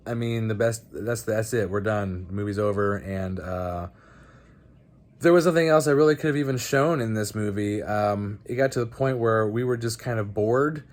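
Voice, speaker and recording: A man hosting a casual movie review vlog, speaking bluntly in a halting, conversational way. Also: fairly low pitch. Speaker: host of a movie night video channel.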